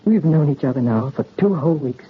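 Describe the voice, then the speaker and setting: Ardent, swooping young bridegroom. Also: male voice, a little high, animated, a little fast, breathy edge. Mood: tender. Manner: earnest, melodramatic. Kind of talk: 1930s radio romantic acting. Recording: muffled old radio recording, faint noise.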